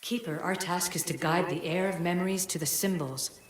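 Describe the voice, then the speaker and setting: Woman as ancient female oracle for a game: low, echoing, solemn, measured, music underneath. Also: close to an everyday voice. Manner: formal, measured, oracular. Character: ancient godlike female being, serene, cryptic, solemn. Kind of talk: video game voice acting.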